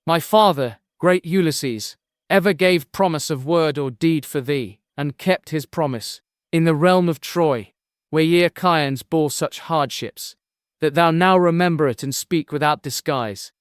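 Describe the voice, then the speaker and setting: A man's voice: on the high side, a little slow, clear, resonant tone, no echo, clean studio sound, natural voice. A man playing an earnest young prince seeking his lost father, in a formal, solemn audiobook drama of epic verse. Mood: urgent pleading. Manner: formal, solemn, pleading declamation.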